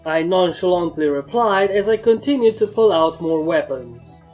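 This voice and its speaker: A woman's voice: fairly low pitch, expressive, moderate pace, darkish tone, soft background music. A woman narrator reading a scripted first-person fantasy story.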